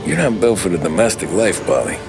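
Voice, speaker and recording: Gravelly, guarded American mobster. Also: male voice, deep voice, sing-song, a little fast, music in the background, exaggerated voice. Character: level-headed, guarded family-man mobster in 1930s America. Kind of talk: cinematic video game crime drama acting.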